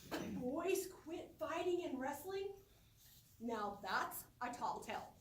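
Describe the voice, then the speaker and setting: Middle aged lady doing a funny speech, really high voice, dramatic pauses, mic far away in an echoey room. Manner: theatrical, expressive, dramatic pauses and stretched words. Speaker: speech contest competitor. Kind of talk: humorous storytelling speech to a live audience. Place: roomy indoor space before an audience. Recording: echoey room, distant microphone, faint noise.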